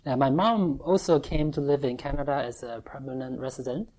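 A woman from Taiwan, preaching in short, halting phrases and pausing for an interpreter. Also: rumbling low, dark tone, rough recording. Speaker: preacher giving testimony. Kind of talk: sermon with line-by-line interpretation.

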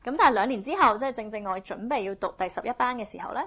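A woman interpreting a sermon phrase by phrase, speaking in a brisk, fluent and eager way. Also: on the high side, fairly bright tone.

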